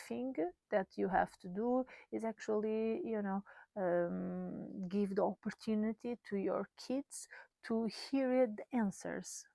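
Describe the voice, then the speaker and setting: A woman's voice: mid-range voice, gravelly, a little mellow, no echo. A Portuguese woman, a mother in her thirties, talking candidly in English in a personal vlog, hesitant with many fillers and restarts. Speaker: vlogger, mother.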